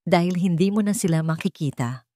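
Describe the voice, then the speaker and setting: A woman voicing a cold, vengeful older villainess in a radio drama, taunting and menacing, with deliberate delivery. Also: lowish voice, dark tone, dry recording, clean studio sound, close to an everyday voice. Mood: chilling triumph. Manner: deliberate, taunting, savoring each word. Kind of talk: Tagalog radio drama storytelling.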